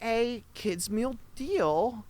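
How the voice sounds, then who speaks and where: A woman with a playful, expressive manner, telling an improvised comedic story. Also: a little high, extremely slow, crowd noise. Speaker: storyteller narrator and cast member.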